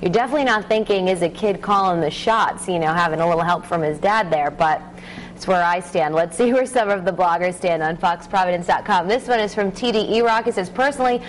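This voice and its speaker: A woman's voice: medium pitch, a little fast, slight background noise, poor audio. A woman in her thirties presenting and reading out viewer comments in a television studio. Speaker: TV show web correspondent. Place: TV studio tech desk, standing.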